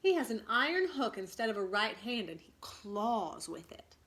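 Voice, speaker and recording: A woman's voice: medium pitch, sing-song, moderate pace, fairly bright tone. A woman voicing a cocky, commanding boy leader who never grows up, boastful, indignant and stern, in a storybook read-aloud. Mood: commanding, firm.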